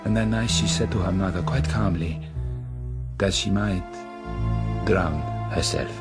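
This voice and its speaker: A solemn, anguished crime-boss father in a radio drama. The voice sits very low and moves in measured, formal phrases. Loud orchestral music runs beneath it. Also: vocal fry. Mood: grieving.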